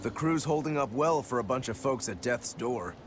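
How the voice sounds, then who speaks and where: A man's voice: mid-range voice, expressive, normal speed, music in the background, lightly acted. An actor voicing a wry, unbothered man in a video game, with a casual, dry, teasing manner.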